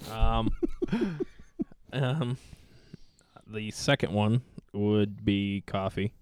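A man's voice: lowish voice, lively intonation, extremely slow, darkish tone, slight background noise. A man co-hosting a casual joking podcast, crude and teasing, swearing freely and sometimes stammering over repeated words. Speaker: podcast co-host.

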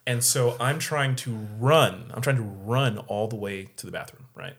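A man co-hosting a casual podcast, telling a story in an animated way and acting out the people in it. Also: mid-range voice, moderate pace. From San Diego area. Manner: animated, acts out quoted voices. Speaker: podcast co-host.

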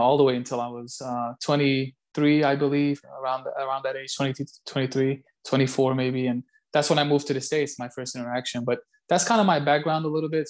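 A man from Belgium answers interview questions in a casual way. His voice sits at a medium pitch, and he speaks haltingly, often doubling up on words as he puts his thoughts together. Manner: halting, repeats words as he goes. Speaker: interview guest, call center site director.

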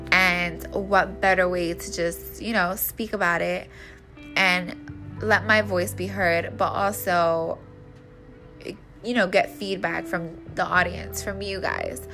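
Slow-paced woman with a stuffy nose. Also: fairly low pitch. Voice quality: congested, stuffy nasal voice. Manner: informal, slangy, addresses listeners directly. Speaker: podcast host. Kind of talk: casual solo podcast promo chat.

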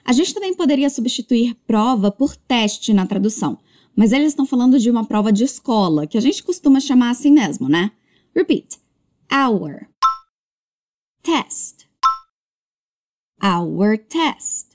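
A woman teaching English as a language lesson, modeling words slowly and carefully before brisk explanations. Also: a little high, big pitch swings, darkish tone. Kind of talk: slow drilled pronunciation lesson.

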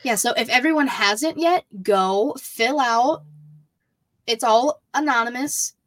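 A woman's voice: fairly high pitch, slow pace, a bit bright, dry recording. A woman co-hosting a podcast, chatting casually as a wrestling fan. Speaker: podcast co-host.

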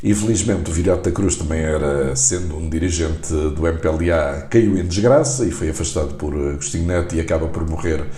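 A man's voice: a bass voice, a little fast, few pauses, mellow. A man from Africa, a guest poet talking about literature and reading his work, recorded with room echo. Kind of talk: literary conversation and reading own poem. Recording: roomy echo.

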